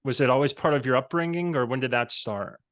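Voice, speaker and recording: A man's voice: mid-range voice, quick pace, fairly bright tone. A middle-aged American man from Pennsylvania, chatting casually as a podcast host. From Pennsylvania, United States. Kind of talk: casual conversational interview.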